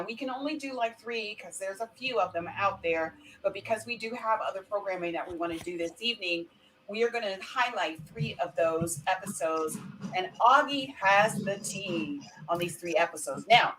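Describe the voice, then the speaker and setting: A woman with a mid-pitched voice hosts a live chat show. She talks informally and rambles, correcting herself along the way. There is noticeable noise behind her, as on a home online recording. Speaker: talk show host.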